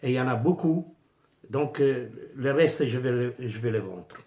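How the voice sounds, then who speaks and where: A middle-aged man with an Italian accent, speaking French as a second language, casually vlogging about his pin collection with many pauses and fillers. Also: medium pitch, darkish tone. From Italy. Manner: hesitant, many fillers and restarts, searching for words. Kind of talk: casual unscripted vlog.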